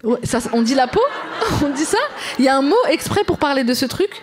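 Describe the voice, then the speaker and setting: A woman with a high, very lively voice swoops up and down as she tells a funny childhood memory. Her first language is Arabic, and she laughs often while talking casually. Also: fairly quick, a little hiss or hum. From parents from western Algeria. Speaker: guest. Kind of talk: casual storytelling.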